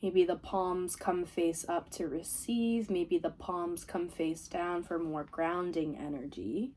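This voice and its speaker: A woman leading a guided meditation as a teacher, giving gentle, soothing, unhurried instructions. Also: fairly low pitch, steady tone, rough recording. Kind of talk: guided body scan meditation.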